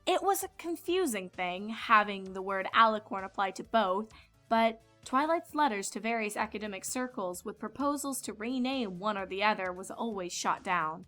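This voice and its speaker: A woman's voice: mid-range voice, animated, moderate pace, sharp tone. A woman reading scripted storybook narration as the narrator. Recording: music behind the voice.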